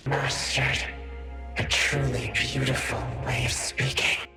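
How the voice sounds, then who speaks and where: An actor playing a dreamy, mysterious poetic aesthete in a game cutscene, lofty and savoring each word. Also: male voice, mid-range voice, flat delivery, slow pace, vocal fry. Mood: dreamy, admiring. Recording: loud music over the voice.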